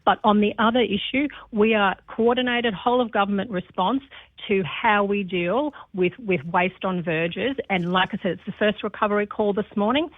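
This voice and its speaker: A woman speaking as a council spokesperson in a radio interview, explaining in measured official phrasing and correcting herself as she goes. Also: mid-range voice, no room sound. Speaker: city council operational spokesperson. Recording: narrow tinny phone line.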